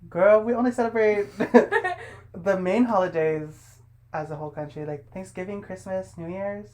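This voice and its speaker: A woman's voice: medium pitch, a little slow, darkish tone. Give me a young woman from the United States hosting a casual podcast interview, easygoing and full of fillers. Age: young adult. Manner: laid-back, many fillers. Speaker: podcast host.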